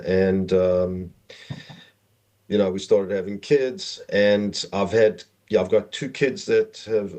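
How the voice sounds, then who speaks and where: Deep, mellow South African man. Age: middle-aged. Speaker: podcast guest. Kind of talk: conversational personal storytelling.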